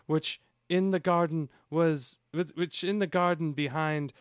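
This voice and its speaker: A man voicing a pining, melodramatic fairy-tale wife with plaintive, drawn-out delivery in a parody audiobook. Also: on the high side, steady tone, clean tone, no room sound, studio quality, voice pushed up, close to an everyday voice.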